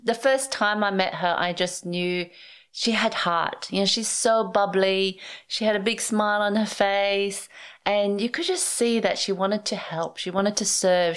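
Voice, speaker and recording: A woman's voice: on the low side, clean tone. A female podcast co-host reading upbeat introductions and asking encouraging, enthusiastic questions. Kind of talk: scripted intro, then conversational interviewing.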